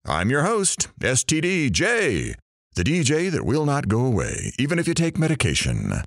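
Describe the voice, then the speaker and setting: A man's voice: medium pitch, huge pitch swings, normal speed, vocal fry, dry recording, full character voice. A man playing a wisecracking, sarcastic radio talk show host in an audio drama. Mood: wry.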